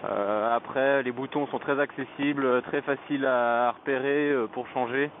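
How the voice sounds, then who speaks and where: A man's voice: mid-range voice, steady tone, normal speed. A man giving a casual, unscripted product review as a vlogger, hesitant and often searching for words, speaking into a helmet headset microphone. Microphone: Bluetooth motorcycle helmet headset microphone. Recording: tinny narrow-band helmet intercom mic, background noise.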